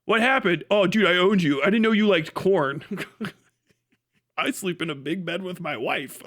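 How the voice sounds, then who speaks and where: A man's voice: high-pitched, measured pace, a bit bright. A man livestreaming mocking commentary on a video, casual and sweary, with incredulous exclamations.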